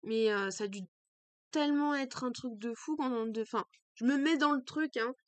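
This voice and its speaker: A young woman streaming a game, chatting casually and excitably with a stuffy, congested nose, at a desk mic in a small room. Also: high voice, normal speed, no room sound. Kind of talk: let's play commentary.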